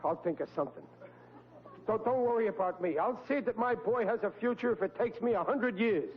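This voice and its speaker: A man's voice: high-pitched, expressive, a little fast. An actor playing a blustering, exasperated middle-aged working-class American father, stammering and flustered, in a 1940s radio comedy. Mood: defensive, flustered. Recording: muffled old-time radio recording with hum.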